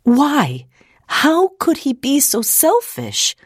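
A woman's voice: a little high, sing-song, slow pace, husky, dry recording, studio quality, pitched above the performer's own voice, full character voice. A woman voicing an impassioned, indignant young woman, pleading and exclaiming, in an audiobook. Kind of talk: audiobook character acting.

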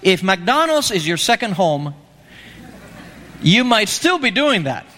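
A man's voice: sits high, big pitch swings, slow, pushed and bright. A man from Argentina preaching an evangelistic sermon, emphatic and pleading, repeating his key phrases. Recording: long reverb of a hall.

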